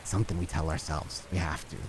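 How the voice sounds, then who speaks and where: A man voicing a plain, brooding, remorseful man in a hushed, halting, weary voice for a tabletop horror game. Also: a bass voice, fairly even intonation, background noise, voice pushed down. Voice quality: hushed, sighing.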